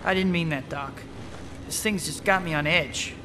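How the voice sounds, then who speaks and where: High-voiced young wisecracking hero played by an actor. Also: male voice, normal speed, noisy background, lightly acted. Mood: troubled. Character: young wisecracking superhero, earnest and on edge. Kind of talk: video game cutscene voice acting.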